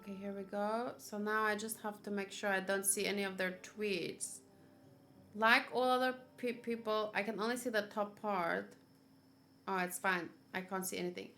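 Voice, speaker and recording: A woman's voice: medium pitch, steady tone, moderate pace, fairly bright tone, slight background noise. A woman streaming as she reacts to a game, chatting casually and rambling with lots of fillers.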